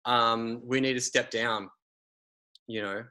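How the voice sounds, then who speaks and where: A man's voice: medium pitch, fairly even intonation, slow, pushed and bright. A man, hosting a casual conversation and rambling with many fillers and restarts.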